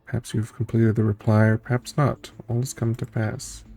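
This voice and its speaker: A man's voice: mid-range voice, little pitch movement, moderate pace, vocal fry, mellow. A man streaming a story game, reading its text aloud and adding casual, musing reactions as he thinks it through. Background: game music.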